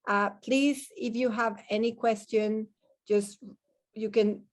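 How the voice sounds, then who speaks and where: A woman's voice: fairly high pitch, steady tone, takes it slowly. Woman moderating an online panel. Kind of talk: moderating a live question-and-answer session, partly reading out comments. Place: online video call. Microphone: video call microphone.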